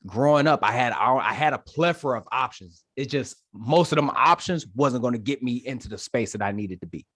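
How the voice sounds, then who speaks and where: A young man from Detroit, a podcast guest telling his story in casual, animated, slangy chat. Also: medium pitch, fairly quick, hardly any pauses, no echo, clean studio sound. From Detroit, Michigan, USA. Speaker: podcast guest, Marine staff sergeant.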